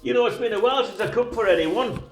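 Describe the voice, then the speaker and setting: A man's voice: high voice, fast talker, soft background music. A man with a British accent playing an ageing, friendly, stubborn retired detective in an audio drama, chatting in a chummy, mildly grumbling way. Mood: friendly, insistent.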